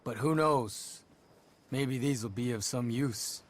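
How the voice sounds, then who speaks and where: Guy doing a quiet serious young man, even and careful, mid-pitched. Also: slow pace, heavy background noise, close to an everyday voice. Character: calm, earnest young man planning a raid.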